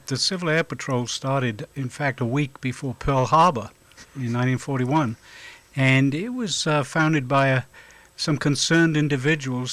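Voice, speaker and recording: A middle-aged man from South Wales, answering interview questions in a halting way with frequent hesitations and an occasional chuckle. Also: mid-range voice, a bit bright, no echo. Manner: halting, lots of hesitations, chuckles now and then. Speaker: guest, volunteer officer.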